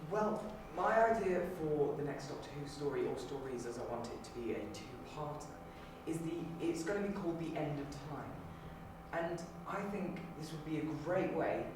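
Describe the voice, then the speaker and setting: A man's voice: on the high side, moderate pace. A man auditioning, chatting casually as he answers interview questions. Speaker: audition candidate. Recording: very echoey room, distant mic, clear noise.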